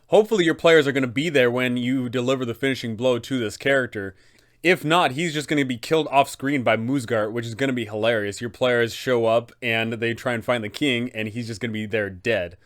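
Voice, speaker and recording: A man's voice: mid-range voice, a little fast. A young adult man hosting an explanatory tabletop game video, speaking in an enthusiastic, emphatic way. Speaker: host, game master advisor. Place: small room indoors, seated.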